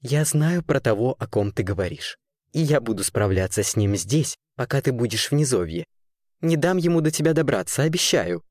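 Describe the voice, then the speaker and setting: A woman's voice: low-pitched, very animated, fairly quick, a little mellow, no echo, clean studio sound, pitched below the performer's own voice. A woman voicing an eccentric, gleeful and tender male weaver from a storybook, with quaint old-fashioned, coaxing speech. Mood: soothing. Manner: archaic servile "-s" endings, coaxing endearments.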